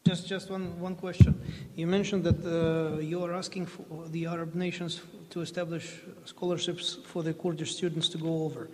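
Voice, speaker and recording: A man's voice: fairly high pitch, little pitch movement, normal speed, few pauses, dark tone. A man moderating a panel, asking a hesitant question with many fillers in a conference hall. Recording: long reverb of a conference hall.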